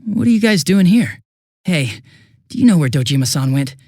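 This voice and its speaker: A man voicing a young police detective in a video game, affable and easily flustered, with a casual, hesitant and excitable way of talking. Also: on the high side, normal speed, no room sound, clean studio sound. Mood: puzzled, casual. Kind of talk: English video game voice acting.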